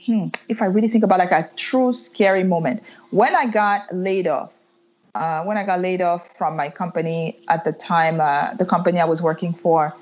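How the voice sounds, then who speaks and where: Woman, low voice, chatty. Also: a little mellow. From Canada. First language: French. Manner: chatty, many "like" fillers, restarts. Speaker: guest entrepreneur. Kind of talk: candid interview storytelling. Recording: muffled lo-fi audio.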